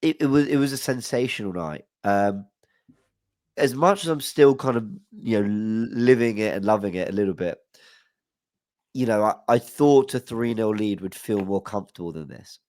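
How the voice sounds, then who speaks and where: Young man, podcast guest, stuffy nose, nervous, rambling, lowish voice, dry close mic. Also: lively intonation, normal speed, a little mellow, dry recording. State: stuffy nose from hay fever. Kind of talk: casual football podcast chat. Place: home room, video call. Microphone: studio mic with headset.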